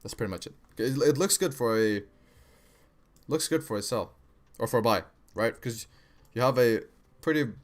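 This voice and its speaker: A man's voice: medium pitch, normal speed. A young man from Kosovo with an American-sounding accent, casually talking viewers through trading charts on a live stream, slangy, with frequent "bro" and "right?".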